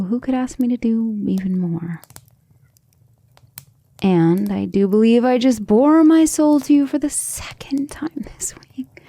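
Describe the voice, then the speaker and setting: A woman playing a gentle, earnest, devoted female vampire in an intimate ASMR roleplay, speaking tenderly and a little hesitantly. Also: medium pitch, no echo. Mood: tender, grateful. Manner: soft, hesitant, tender, often breathy near-whisper.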